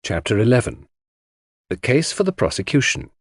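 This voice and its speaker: A man's voice: mid-range voice, sing-song, normal speed, slightly rough, no echo, clean studio sound. A man reading scripted first-person mystery narration as an audiobook narrator.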